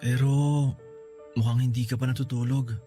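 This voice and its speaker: A man voicing a loving young husband who gently consoles his wife in a radio drama. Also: medium pitch, flat delivery, moderate pace, faint music behind, lightly acted. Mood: weak. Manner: gentle, soothing, reassuring. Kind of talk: Filipino radio drama acting.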